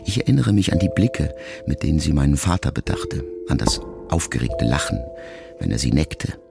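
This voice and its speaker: A middle-aged actor playing a reflective grown son who addresses his dying mother in a measured, intimate radio-play monologue, with a gravelly voice. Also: very deep voice, big pitch swings, exaggerated voice. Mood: tender remembering. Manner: measured, introspective, intimate storytelling. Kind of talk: literary first-person monologue in a radio play. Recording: music under the voice. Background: music.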